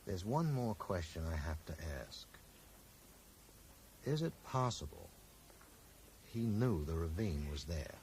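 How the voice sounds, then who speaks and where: Lively-toned, earnest amateur investigator. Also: male voice, sits low, slow pace, long pauses, full character voice. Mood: probing. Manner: tactful but probing. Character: earnest, polite, persistent man investigating a friend's mystery. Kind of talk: 1980s radio drama acting. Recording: noisy 1980s radio broadcast recording.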